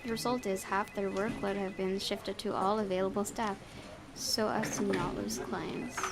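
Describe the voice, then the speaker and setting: A woman's voice: mid-range voice, fairly even intonation, normal speed, background noise. A woman reading visual novel narration aloud, breaking into casual asides as a gaming YouTuber. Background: background music.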